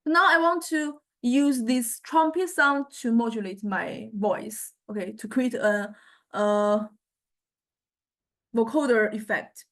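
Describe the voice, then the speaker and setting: A woman lecturer giving an informal, unscripted software demonstration, with halting phrasing and frequent check-in questions. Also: on the high side.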